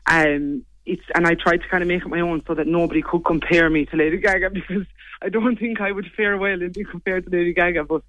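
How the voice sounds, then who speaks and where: A young Irish woman with a low voice, chatting quickly as a singer guest in a casual interview. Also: steady tone, a bit bright, no room sound, poor audio. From Ireland. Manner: quick, chatty, lots of "like" and "you know".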